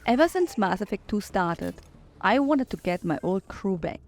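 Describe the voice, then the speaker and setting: A woman's voice: medium pitch, expressive, normal speed, a little mellow, soft background music. A woman giving her opinions in commentary on a video game playthrough.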